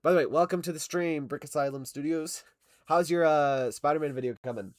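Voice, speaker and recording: A man's voice: fairly high pitch, brisk, no room sound. A young man streaming while he animates, chatting casually and rambling with many fillers and self-corrections. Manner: rambling, lots of fillers, laughs often. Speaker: stop-motion animation streamer. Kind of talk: casual livestream chat, reading chat.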